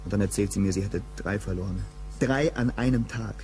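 A man's voice: on the low side, a little fast, darkish tone, faint music behind, natural voice. An actor playing a weary, plain-spoken man, a reluctant witness in a crime radio play, speaking in clipped colloquial phrases. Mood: weary, troubled.

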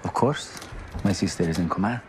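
An actor playing a proud, wry rebel lieutenant in a video game, with a Middle Eastern accent. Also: male voice, low-pitched, fairly quick, background noise, close to an everyday voice. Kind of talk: video game cutscene acting. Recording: loud music behind the voice.

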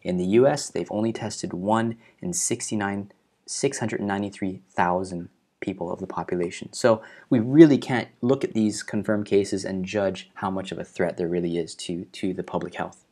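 A man's voice: deep voice, normal speed, mellow. A young man from Hawaii in his twenties, talking earnestly to a webcam in his living room as he walks through the latest news and stresses the key numbers. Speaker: video host. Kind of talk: explanatory news commentary. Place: living room at home, indoors.

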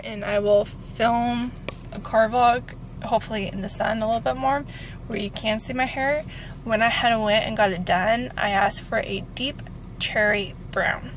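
A woman's voice: a little high, poor audio. A woman, chatting in an informal, rambling way for a car vlog, recorded on a phone inside a car. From California, United States. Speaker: vlogger. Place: inside a parked car with engine warming up. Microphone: phone at arm's length. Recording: car interior with clear background noise.

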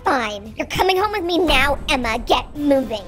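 A woman's voice: sits high, lively intonation, normal speed. A woman playing a spoiled, mean teenage big sister who sneers and bosses her baby sister around. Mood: bossy. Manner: sneering, bossy. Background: background music.

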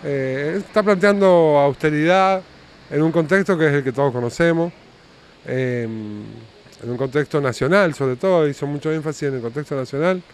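A man's voice: a little high, normal speed. A man in his fifties with an Argentine accent gives an improvised interview answer as a local councillor, outdoors into a handheld microphone. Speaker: city councillor being interviewed. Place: outdoors by a building. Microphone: handheld reporter microphone. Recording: outdoor street noise with vehicles.

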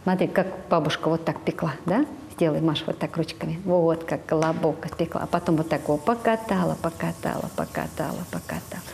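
A woman's voice: low voice, lively intonation, gravelly, a little mellow, big-room sound, a little hiss or hum. Sing-song woman coaxing a child. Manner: slow, sing-song, prompting the child to finish words. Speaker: speech therapist. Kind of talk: telling a fairy tale to a small child. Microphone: lapel mic.

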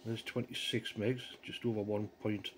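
A man's voice: on the low side, flat delivery, moderate pace, a little hiss or hum. A man giving informal, off-the-cuff commentary in a casual hands-on hobby video. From North East England. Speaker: amateur radio hobbyist demonstrating equipment. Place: outdoors in a yard, then a small living room. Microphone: handheld phone camera behind the view.

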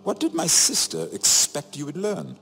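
An actor playing a wry, shrewd middle-aged imprisoned nobleman in a video game, sardonic and theatrical, phrasing each remark deliberately. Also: fairly high pitch, wildly swooping pitch, sharp tone, full character voice. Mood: stern warning. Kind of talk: dramatic video game dialogue. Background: music.

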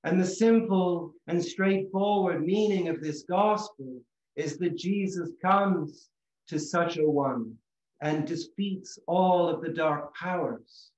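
Male preacher, middle-aged, slightly high voice, earnest measured delivery in a small reverberant chapel. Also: a little mellow, a little hiss or hum. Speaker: Orthodox priest. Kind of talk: sermon. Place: small room chapel in a house, indoors. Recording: echoey room, slightly dull sound.

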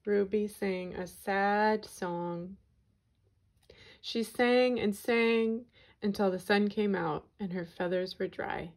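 A woman reading a children's picture book aloud in an unhurried, expressive way. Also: medium pitch. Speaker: storybook reader.

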